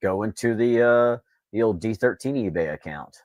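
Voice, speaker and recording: A man's voice: on the low side, normal speed, a little mellow, no room sound, clean studio sound. A man co-hosting a casual chat, riffing jokingly in mock-grand fashion. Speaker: show co-host.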